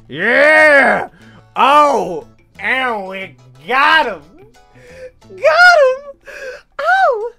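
Animated young man doing gaming commentary. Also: a little high, gravelly. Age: young adult. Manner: animated, exclaiming, repeats phrases while playing. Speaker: gaming video host. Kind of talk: excitable let's play commentary. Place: small indoor room at home. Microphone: close desk microphone, headset on.

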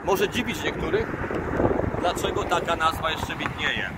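A man in his forties, a tour guide, explaining history informally to camera in a halting way, with pauses mid-phrase. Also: fairly high pitch. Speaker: tour guide and travel vlogger. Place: outdoors by a road bridge. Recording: loud outdoor traffic noise. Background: passing cars and vehicles.

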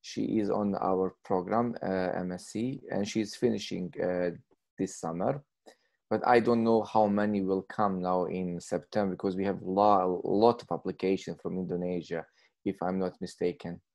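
A man's voice: low voice, fairly even intonation, moderate pace, vocal fry, a little mellow. A man in his thirties presenting in a webinar over a video call, earnest and searching for his words. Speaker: lecturer.

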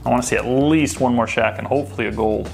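A man's voice: medium pitch, steady tone, fast talker, creaky, soft background music. A man hosting a sports card pack opening, chatting casually and thinking aloud as he sorts through the cards. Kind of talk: casual unboxing commentary.

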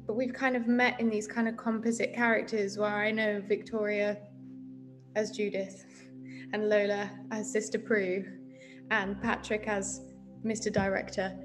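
A woman's voice: mid-range voice, flat delivery, a little slow, music in the background. A woman in her twenties chatting expressively and ramblingly in an interview over a video call. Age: 20s. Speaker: actor being interviewed. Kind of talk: casual interview chat. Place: indoor room, video call.

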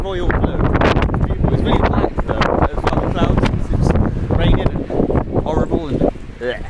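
A young man with a slight Gloucestershire accent, rambling in a giggly, easily distracted way while filming himself on a dark street at night. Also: fairly high pitch. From England. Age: young adult. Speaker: vlogger. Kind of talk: rambling casual vlog. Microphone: phone held at arm's length. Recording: loud wind noise on phone mic.

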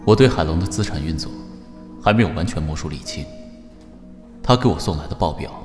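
An actor playing a composed, calculating, wealthy chairman in a radio drama, measured and formal. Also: low-pitched, animated, darkish tone, soft background music. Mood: brooding, calculating. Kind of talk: Chinese radio drama acting.

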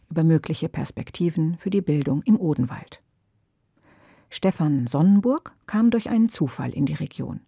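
A man narrating a radio feature in a calm, measured, scripted way. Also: on the high side, vocal fry, husky, no room sound, muffled recording.

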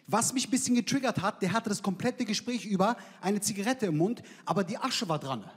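A man's voice: sits high, animated, fairly quick, husky. A man, performing a brash, slangy stand-up set on stage into a handheld mic. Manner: brash, slangy, punchy delivery. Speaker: stand-up comedian. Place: large theater stage with live audience. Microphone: handheld microphone. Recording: live hall with crowd reactions.

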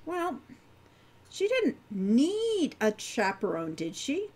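An older woman, the book's author, narrating her novel in a gentle, expressive storytelling manner at home. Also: high voice, slow pace, breathy edge. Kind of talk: audiobook narration.

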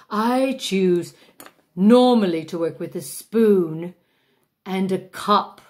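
A woman's voice: mid-range voice, darkish tone. A woman playing a pompous, vain older actress who teaches a masterclass in a grand, drawling, self-important manner. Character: pompous aging female stage diva, vain. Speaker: acting teacher in a mock masterclass. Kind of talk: comic character monologue.

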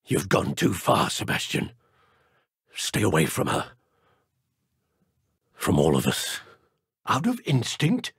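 A gravelly, husky older British wizard from a video game. He is a strict guardian uncle with a short temper, curt and scolding, and his pitch moves widely as he talks. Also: huge pitch swings, slow pace, long pauses, fairly bright tone, dry recording, clean studio sound, heavily acted. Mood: grim reproach.

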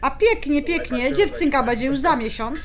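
High-voiced young daughter-in-law. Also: female voice, lively intonation, moderate pace. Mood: polite, cheerful. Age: young adult. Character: polite but assertive young newlywed daughter-in-law. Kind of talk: radio drama acting.

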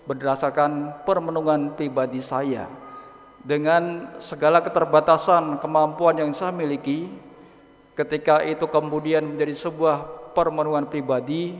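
Slow-spoken priest in an echoing church. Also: male voice, a little high. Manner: slow, measured delivery with long pauses between phrases. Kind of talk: sermon and gospel reading at Mass. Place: large church. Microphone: stand mic at a lectern. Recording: long church reverb.

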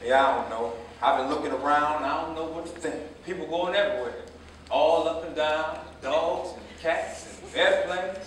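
A man's voice: fairly high pitch, normal speed, a bit bright, close to an everyday voice. An American man acting a proud, defensive grown son just out of prison, insistent, in a staged play reading. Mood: hesitant, casual. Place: indoor staged reading, recorded from afar. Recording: echoey, noisy stage from far off.

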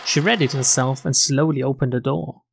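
A man reading a visual novel aloud as a streamer, with expressive narration and casual asides. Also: mid-range voice, moderate pace, vocal fry, background noise.

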